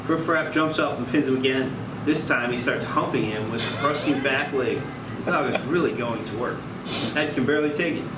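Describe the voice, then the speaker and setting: A man's voice: medium pitch, normal speed. A man reading stage directions aloud at a table read, in scripted narration. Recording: echoey room, distant mic, loud hum.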